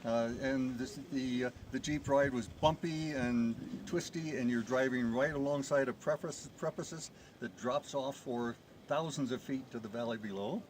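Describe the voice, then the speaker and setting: A man's voice: mid-range voice, normal speed. A middle-aged man from the United States, a tourist guest describing his trip with enthusiasm, recorded outdoors in the mountains. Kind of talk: outdoor interview. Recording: noisy outdoor ambience.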